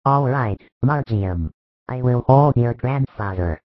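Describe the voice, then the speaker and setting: A man voicing a calm, solemn spiritual master. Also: mid-range voice, takes it slowly, no echo. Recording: thin phone-like dry recording.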